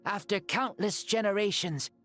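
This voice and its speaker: Proud, boyish ancient warrior lord. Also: male voice, high-pitched, normal speed, bright, forceful tone, soft background music. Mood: proud, earnest. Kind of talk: game cutscene voice acting.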